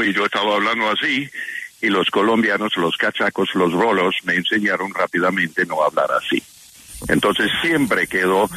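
An older American man talking as a radio guest, his Spanish colored by an American accent and his native English. His fairly low voice swings widely in pitch and has a bright, slightly husky edge. He is chatty and expressive, with lots of fillers as he tells his stories. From New York, USA. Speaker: former diplomat, interview guest. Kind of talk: warm radio interview answers.

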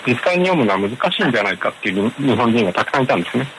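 Hombre japonés de habla rápida, con siseo. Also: male voice, medium pitch, sing-song, rapid-fire, a bit bright, rough recording. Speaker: publishing professional, interview guest. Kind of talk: explanatory interview answer. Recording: hissy remote radio link.

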